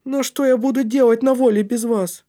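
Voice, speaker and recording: A man voicing a small, earnest young boy with a squeaky, breathy, effortful voice in a story. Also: steady tone, moderate pace, warm tone. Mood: worried.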